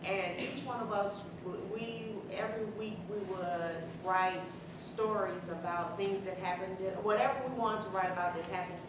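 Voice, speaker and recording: A woman from a writing group answering an audience question haltingly. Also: medium pitch, fairly even intonation. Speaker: writing group member, performer. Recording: echoey hall, far from mic, noise.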